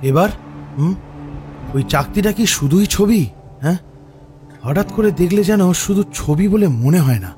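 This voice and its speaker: Casting: actor as hesitant, uneasy male adventurer, slightly high voice, horror audio story. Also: animated, normal speed, pauses often, a little mellow, faint music behind. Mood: intrigued. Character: uneasy male explorer, companion in a dark temple. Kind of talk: dramatic audio story acting.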